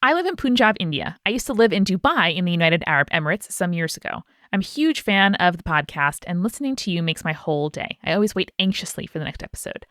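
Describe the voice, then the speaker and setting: A woman's voice: fairly low pitch, sing-song, fast talker, hardly any pauses, a bit bright, dry recording, studio quality. A woman hosting a podcast, chatting casually and reading listener stories aloud. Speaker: podcast host.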